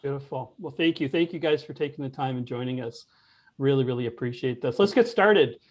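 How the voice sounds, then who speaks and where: A man hosting a church discussion as a loose, conversational interview, speaking in a halting, informal way. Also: mid-range voice.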